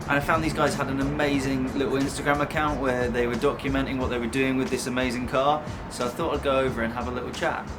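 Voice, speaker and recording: Casual male vlogger over music. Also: medium pitch. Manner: informal, enthusiastic. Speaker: travel vlogger and host. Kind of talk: casual vlog narration and chat.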